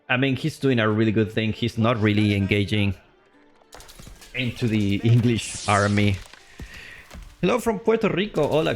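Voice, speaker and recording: An excitable man calls a strategy game match live for a stream, with a mid-range voice that swings widely. He chats loosely, full of fillers and restarts, and jumps up on big plays before easing back into calm explanation. Faint music plays underneath. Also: medium pitch.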